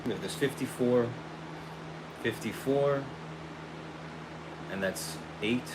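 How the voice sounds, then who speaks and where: A man hosting a casual livestream and pitching his own hair product, upbeat and friendly, saying he is losing his voice. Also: slow pace. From United States. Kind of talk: casual livestream chat, reading viewer questions. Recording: steady air conditioning hum behind.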